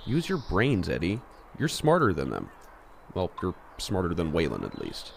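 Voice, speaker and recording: A man acting a level-headed, popular teenage schoolboy and reluctant hero in an amateur audio drama, calm, dry and reasoning. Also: deep voice, moderate pace, gravelly, slight background noise, exaggerated voice. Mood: firm, defensive.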